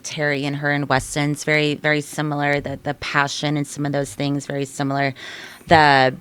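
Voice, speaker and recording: Young-sounding, low-voiced woman author. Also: smooth. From Illinois. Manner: candid, animated storyteller, frequent restarts. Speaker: author, podcast guest. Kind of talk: casual interview chat. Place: podcast studio.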